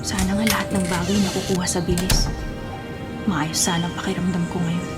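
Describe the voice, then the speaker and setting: A woman's voice: on the low side, little pitch movement, brisk, loud music behind the voice, natural voice. An actress playing an irritable, sleepy young woman race driver in a Filipino radio drama, snappy and sulky, with a Filipino accent. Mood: sullen.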